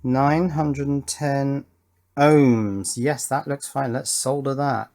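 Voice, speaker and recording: A man's voice: mid-range voice, fairly even intonation, slow, darkish tone. A middle-aged man with a mid-range voice. He talks like a hobbyist hosting an electronics video, casual and unscripted. His delivery is thoughtful and matter-of-fact, wandering easily between instruction and reminiscence. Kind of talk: casual chatty tutorial narration with reminiscence.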